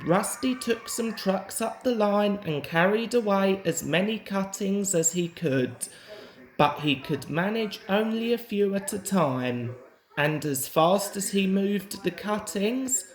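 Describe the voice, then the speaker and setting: A woman's voice: medium pitch, echoey room, rough recording. A woman narrating a children's storybook aloud as a reader.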